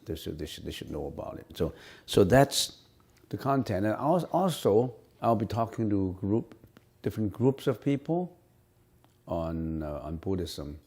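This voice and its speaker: A man with a Cantonese mother tongue teaches Buddhism in an unscripted talk, his voice deep and dark in tone. He pauses often, repeats words and fills gaps while he searches for the next phrase. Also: sing-song, slightly rough. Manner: halting, many fillers and restarts.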